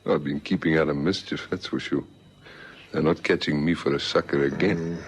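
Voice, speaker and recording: Very deep-voiced wily old-time crook. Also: male voice, steady tone, normal speed, exaggerated voice. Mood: wry, guarded. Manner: smooth, folksy, evasive. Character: wily, unruffled male ex-convict safecracker. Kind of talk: vintage radio crime drama acting. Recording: vintage radio recording, faint noise.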